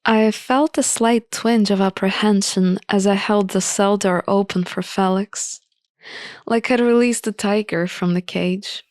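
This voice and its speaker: A woman narrating a visual novel in an expressive, measured storybook style. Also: mid-range voice, no room sound, studio quality. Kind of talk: expressive storybook-like reading of game text. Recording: music behind the voice. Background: music.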